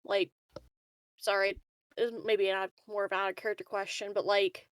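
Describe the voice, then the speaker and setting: A woman's voice: medium pitch, flat delivery, normal speed, smooth, no echo. A woman chatting casually as a player in a tabletop roleplaying game.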